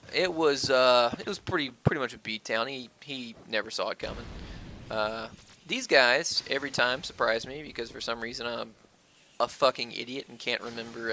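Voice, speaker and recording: A man giving casual, rambling Let's Play commentary, crude and profane with self-deprecating jokes and halting delivery, on a cheap microphone. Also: mid-range voice, fairly even intonation, sharp tone, a little hiss or hum. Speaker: gaming video host. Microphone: worn-out stand-by microphone. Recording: cheap mic with wonky audio.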